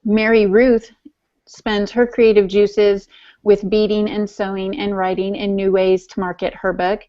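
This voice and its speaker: Chatty woman podcast cohost, playful, teasing, medium pitch, kinda muffled lo-fi sound. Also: little pitch movement, unhurried, mellow. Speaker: podcast co-host. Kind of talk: casual podcast chat. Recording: dull, lo-fi podcast audio.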